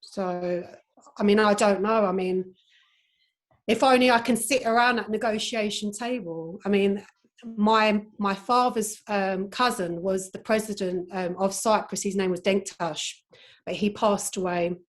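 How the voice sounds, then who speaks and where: Woman, hesitant, reflective, mid-pitched, video call. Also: fairly even intonation, unhurried. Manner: hesitant, many "I mean" fillers. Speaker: conference speaker. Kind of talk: reflective conversation.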